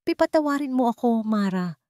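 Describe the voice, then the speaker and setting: A woman's voice: on the high side, expressive, fairly quick, mellow, dry recording, clean studio sound, pitched above the performer's own voice, natural voice. A woman with a Filipino accent voicing a desperate, frightened stepmother in an audio drama, intense and urgent. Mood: anguished.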